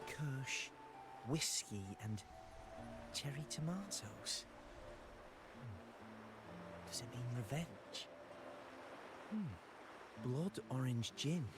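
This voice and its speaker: An actor voicing an inquisitive, polite, dreamy code enthusiast in a video game, musing and hesitant. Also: male voice, mid-range voice, expressive, extremely slow, long pauses. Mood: musing, intrigued. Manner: musing, hesitant, murmuring hums between thoughts. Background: game music.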